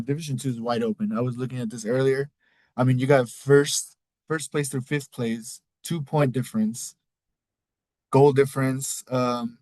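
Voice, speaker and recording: A man's voice: medium pitch, no room sound, rough recording. A man with a Mexican accent hosting a casual league recap podcast, rambling loosely and cussing now and then. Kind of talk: casual solo recap talk.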